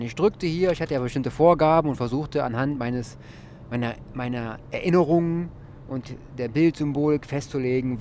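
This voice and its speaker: A man's voice: medium pitch, slight background noise. A man hosting his own podcast, telling a wordy, mildly ironic story in a casual monologue. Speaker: podcast host.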